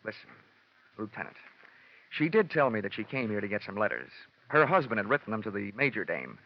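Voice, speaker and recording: Wisecracking American radio detective, muffled sound. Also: male voice, on the low side, fairly quick, vocal fry, heavily acted. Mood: wry, defensive. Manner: wry, deadpan wisecracking. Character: wisecracking hardboiled freelance insurance investigator. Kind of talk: 1950s radio detective drama acting. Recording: muffled old radio broadcast.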